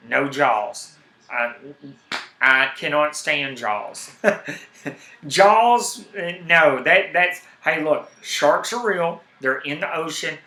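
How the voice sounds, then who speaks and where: A man's voice: medium pitch, reverberant, a little hiss or hum. Southern man ranting on a live stream. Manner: rambling, animated, repeats words when worked up. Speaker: YouTube streamer. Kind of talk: casual live-stream chat.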